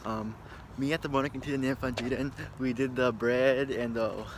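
A man's voice: medium pitch, steady tone, brisk, background noise. Young man vlogging casually. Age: young adult. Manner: rambling, informal. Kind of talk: casual daily vlog chat. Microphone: phone or camera at arm's length.

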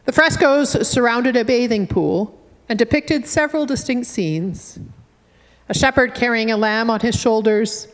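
Canadian woman minister, middle-aged, slightly high voice, measured earnest sermon, long church reverb. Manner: measured, earnest preaching with gentle humor. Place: wood-panelled church sanctuary, at a pulpit. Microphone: fixed church camera at a distance.

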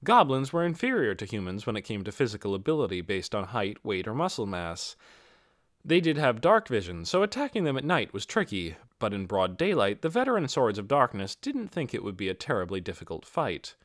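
Lively male audiobook narrator. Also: lowish voice, fairly quick, no room sound, clean studio sound. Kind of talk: scripted fantasy novel narration.

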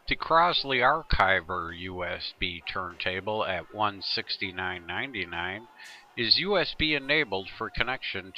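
A man's voice: low-pitched, pushed and bright, lo-fi sound. A man presenting a tutorial in scripted, instructional narration.

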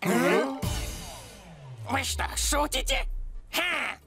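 Indignant cartoon henchman: proud, piercing, gravelly edge, pitched high. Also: wildly swooping pitch, extremely slow, pushed and bright, soft background music, full character voice. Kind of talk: animated series dub acting.

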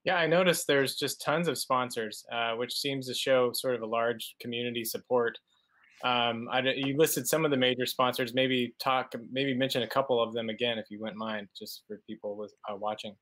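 A middle-aged man hosting an interview, asking measured questions with hesitant restarts over a webcam call. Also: medium pitch, steady tone, a bit bright. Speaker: host. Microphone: webcam on video call.

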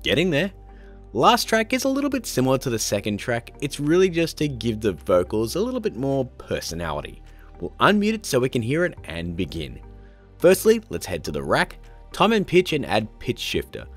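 Lively man presenting a tutorial, music behind. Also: medium pitch, moderate pace. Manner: chatty, informal, addressing viewers directly. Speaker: host, video tutorial presenter. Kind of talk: upbeat step-by-step tutorial narration.

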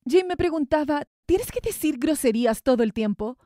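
A woman in an amateur fan dub playing a placid, caring middle-aged mother with a mild, unhurried manner. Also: sits high, expressive, dark tone.

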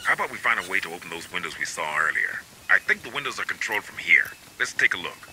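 An actor playing a cynical, streetwise squad adviser in a video game, talking in a sardonic, clipped way. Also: male voice, deep voice, big pitch swings, fast, bright, forceful tone, a little hiss or hum, exaggerated voice, rough recording. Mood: wry. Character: wry, streetwise male squad adviser, cynical rebel realist. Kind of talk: video game cutscene and mission dialogue acting. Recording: game music behind the voice.

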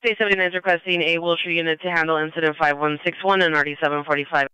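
A man's voice: on the high side, fairly even intonation, rapid-fire, bright, forceful tone, smooth, no room sound. A man working as police dispatcher, giving clipped broadcasts over a dull police radio.

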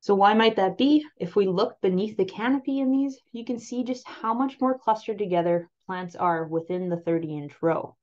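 A woman with a Canadian accent presenting on-farm crop trial results over a slide presentation, explaining them clearly and steadily. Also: medium pitch, normal speed, few pauses, darkish tone. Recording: slightly muffled voice-over.

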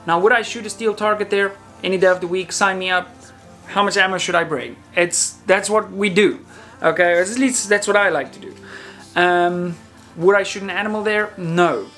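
A man's voice: sits high, fairly even intonation, normal speed, fairly bright tone. Man in his thirties, casual talk over music. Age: 30s. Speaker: host of a shooting channel. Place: indoor room, talking to camera.

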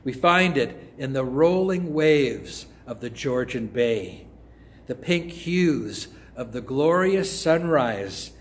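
An older man preaching a sermon in a church, close to a phone or webcam. He speaks in a measured way and often drops to a soft, hushed voice. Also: mid-range voice. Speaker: pastor. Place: church sanctuary before an altar cross.

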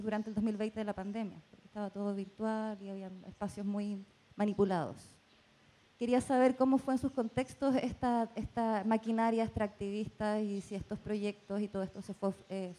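A woman's voice: mid-range voice, steady tone, normal speed, dark tone, rough recording. A woman from Chile with a Chilean accent puts a spontaneous question to a panel from the audience. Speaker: audience member asking a question, researcher. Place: audience in indoor hall. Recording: faint room noise.

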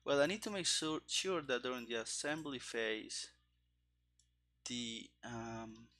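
A man in his thirties thinking aloud as he codes live, halting and muttering in fragments into a headset mic. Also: medium pitch, pushed and bright. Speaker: game developer streaming his coding. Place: small room indoors.

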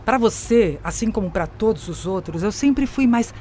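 Woman acting a scornful, theatrical female AI, swooping pitch. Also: lively intonation, normal speed, warm tone, slight background noise, lightly acted. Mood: menacing, righteous. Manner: mocking, domineering, theatrical. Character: scornful, grandiose female artificial intelligence turning on her creator. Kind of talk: audio drama acting.

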